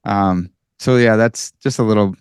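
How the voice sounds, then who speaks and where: A man's voice: on the low side, unhurried, smooth, no echo, clean studio sound. A man in his thirties with a nasal Chicago accent, talking casually and animatedly as a podcast guest. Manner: animated, bro-ish, self-deprecating. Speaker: podcast guest, philosopher and writer. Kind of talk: casual conversation.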